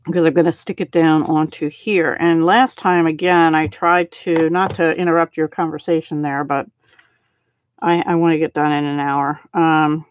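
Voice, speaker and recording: Soft-spoken woman demonstrating crafts live. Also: low voice, fairly even intonation, moderate pace. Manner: soft-spoken, thinks aloud while working. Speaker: card-making live stream host. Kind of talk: casual live craft demo, chatting with viewers. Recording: dry, slightly dull home stream audio.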